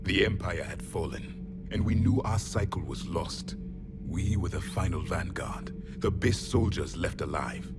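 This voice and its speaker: An actor playing a grim, proud alien warrior, the last of a fallen empire, speaking in solemn, blunt declarations for a video game. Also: male voice, low-pitched, measured pace. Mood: proud, commanding. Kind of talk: dramatic video game acting. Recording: dramatic music behind the voice.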